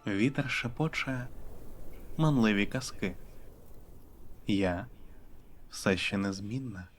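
Give the low, hushed voice of a gentle, weary young wanderer, acted by a man for a game. He recites lyrical lines slowly and tenderly. Soft music plays faintly underneath. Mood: lyrical, wistful. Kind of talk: slow poetic game voice-over.

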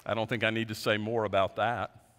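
A man in his fifties, a pastor preaching a sermon from a lectern in a large church, earnest, often dropping his voice low at the end of a point. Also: flat delivery, a little fast, a bit bright. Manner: earnest, gestures widely, voice drops low at ends of points. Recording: long reverb of a large sanctuary.

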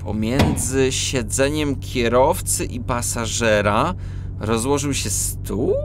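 A man's voice: medium pitch, takes it slowly, loud music behind the voice. An actor playing an enthusiastic male cat police detective in a children's audio drama. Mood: curious, easy. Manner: exclamatory, wonder-struck.

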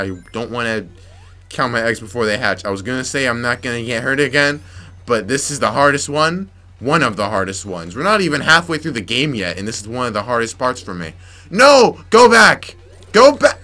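Young male let's-player, raspy from yelling, bright voice, faint game music underneath. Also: medium pitch. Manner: frustrated, muttering to himself, sudden yelps. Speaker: gameplay commentator. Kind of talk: let's play commentary.

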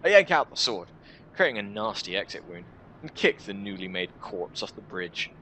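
Bright-voiced young man narrating dramatically. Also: on the low side, very animated, moderate pace, slight background noise. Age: 20s. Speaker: video creator reading a visual novel. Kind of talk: expressive first-person story narration. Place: small room at home, indoors.

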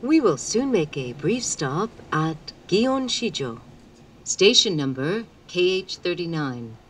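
A woman's voice: lowish voice, big pitch swings, very slow, breathy edge. A woman reading a formal, carefully enunciated scripted train announcement, heard through the speakers inside a train car. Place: train car, over public-address speakers. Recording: recorded off an in-car speaker, slightly distant.

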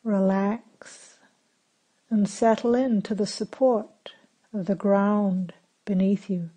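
A woman meditation teacher leading a guided meditation in a calm, gentle, unhurried manner with long pauses between phrases. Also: mid-range voice, breathy edge.

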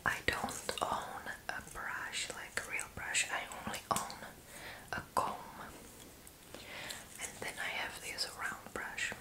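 Slow whispering young woman, ASMR. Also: low-quality recording. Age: 20s. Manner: soft, casual, unhurried whispering. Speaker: ASMR creator. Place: small room indoors.

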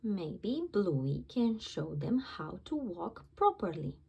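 A woman voicing a patient, gently knowing mother dog in a children's storybook. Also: medium pitch, big pitch swings, takes it slowly, creaky, slightly rough, highs cut off, heavily acted.

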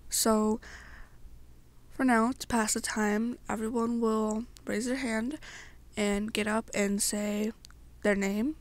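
A young woman narrating an ASMR story to the listener in a halting way, pausing between short phrases. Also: on the high side, fairly even intonation. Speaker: amateur roleplay narrator.

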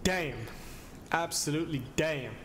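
A man's voice: fairly high pitch, unhurried, slight background noise. A young adult man giving casual playthrough commentary as a streamer, speaking into a microphone indoors. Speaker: gaming streamer. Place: small room indoors. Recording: loud game music behind voice.

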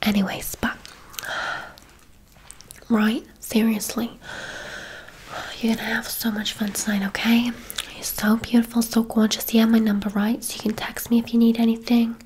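A woman's voice: a little high, flat delivery, normal speed, long pauses, darkish tone, a little hiss or hum, lightly acted, poor audio. A woman playing a gushing, affectionate, gossipy hairdresser in an ASMR roleplay, chatty and full of endearments. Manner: effusive, chatty, uses endearments.

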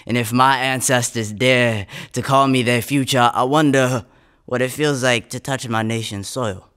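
A man's voice: medium pitch, fairly even intonation, normal speed, fairly bright tone, smooth. A young man from the United States, a spoken word poet, recorded close on a studio microphone. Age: young adult. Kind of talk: spoken word poetry and interview answers. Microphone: close studio condenser microphone.

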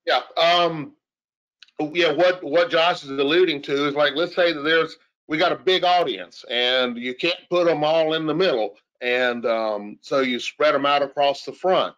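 A man's voice: on the high side, measured pace, a bit bright, highs cut off. A man giving an informal technical explanation as an expert, in a conversational way with rhetorical questions. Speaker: audio engineer guest expert.

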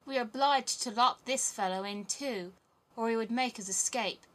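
A woman's voice: a little high, normal speed, a bit bright, lightly acted. A woman voicing a wild, teasing little robber girl in a storybook, bold and commanding. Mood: boastful. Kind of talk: storybook character voice.

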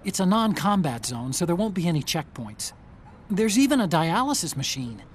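An actor playing an earnest, brainy tech ally in a video game, giving clear, urgent mission briefings. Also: male voice, sits high, sing-song, normal speed, a little hiss or hum. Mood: reassuring.

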